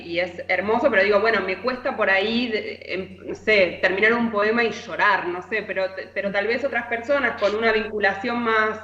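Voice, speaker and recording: A woman's voice: medium pitch, a bit bright. A woman with an Argentine accent giving conversational literary commentary as a columnist, explaining hesitantly and often checking in with short tag questions. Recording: echoey, muffled remote connection.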